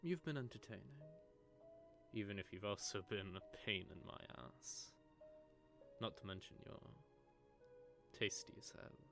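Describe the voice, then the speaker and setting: A man's voice: on the low side, expressive, measured pace, gravelly, pauses often, over music. A man playing a sardonic, predatory vampire with a sentimental streak, teasing and taunting in an intimate audio roleplay monologue. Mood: wistful teasing. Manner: teasing, taunting, drawling menace with soft asides. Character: sardonic, predatory male vampire, secretly sentimental toward prey.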